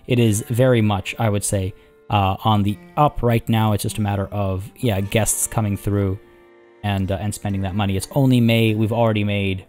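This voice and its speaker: Hoarse man chatting over gameplay. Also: fairly low pitch, flat delivery, moderate pace, a little mellow, faint music behind. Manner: rambling, chatty, many restarts and fillers. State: hoarse, losing his voice. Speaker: let's play host. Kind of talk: casual gameplay commentary.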